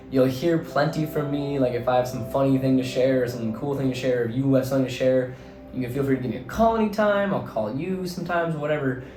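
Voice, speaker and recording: A young man in his twenties from New Jersey, his voice slightly hoarse, talking through his thoughts in a casual, rambling way full of fillers. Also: mid-range voice, a little mellow. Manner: rambling, filler-heavy, earnest. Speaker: vlogger and teacher. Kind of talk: casual reflective monologue. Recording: roomy echo, faint background music.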